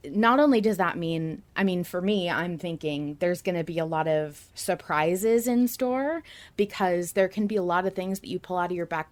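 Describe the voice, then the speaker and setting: Lower-voiced woman hosting a fan podcast. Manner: enthusiastic. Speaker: podcast host. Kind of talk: casual fan discussion podcast, reading listener comments.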